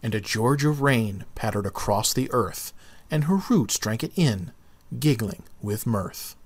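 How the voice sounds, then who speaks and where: A man narrating a children's book as an expressive read-aloud. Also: lowish voice, a little slow, breathy edge, no room sound. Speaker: storybook narrator.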